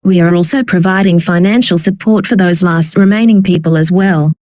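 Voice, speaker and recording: A woman's voice: on the low side, a little fast, darkish tone, no room sound. A woman reading a scripted, formal emergency broadcast announcement.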